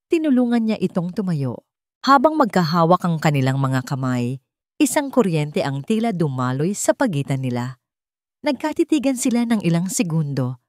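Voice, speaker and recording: A woman storyteller giving expressive, dramatic scripted narration of a love drama. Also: on the low side, no echo, clean studio sound.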